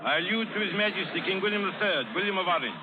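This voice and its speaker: An actor playing a haughty, loyal English lord and royal envoy, formal and pompous, in old radio drama style. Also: male voice, high-pitched, lively intonation, rapid-fire. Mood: earnest, formal. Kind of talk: 1930s radio drama acting. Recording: muffled old radio broadcast, crowd noise.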